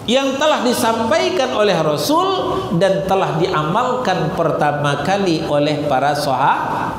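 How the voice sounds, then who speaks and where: A man from Indonesia preaching a Ramadan sermon, asking rhetorical questions and slowly reciting Arabic verses. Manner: rhetorical questions, wide swings in pitch and loudness. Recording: echoey hall with loud room noise.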